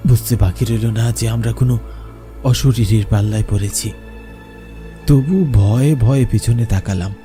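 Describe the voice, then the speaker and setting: A man's voice: mid-range voice, warm tone. A man narrating a scripted first-person horror story in a dramatic, suspenseful way. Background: faint music.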